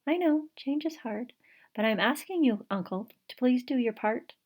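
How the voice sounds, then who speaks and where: A woman's voice: high voice, expressive, normal speed, darkish tone, pitched above the performer's own voice. A woman voicing an eager, curious young girl scientist in a picture book, earnest and inquisitive. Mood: earnest, persuading.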